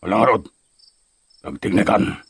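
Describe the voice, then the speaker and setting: A man playing a gruff foreman in a drug gang in an Ilocano audio crime drama. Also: lowish voice, expressive, normal speed, no room sound. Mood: stern, bossy. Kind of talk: Ilocano radio-style crime drama acting.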